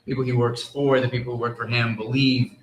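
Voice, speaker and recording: A man of medium pitch talks through sports analysis in an echoey live room. He sounds like a reporter on a panel, measured and careful, often halting to rephrase. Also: flat delivery. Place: live stage at a fan convention.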